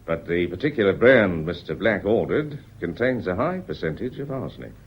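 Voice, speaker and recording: A very deep-voiced police inspector in a radio play. He is courteous and deliberate but relentlessly probing, with a lively rise and fall to his lines. The old recording sounds dull and muffled. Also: slightly rough, exaggerated voice. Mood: firm, insistent. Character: shrewd, politely relentless male police inspector. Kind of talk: radio drama acting.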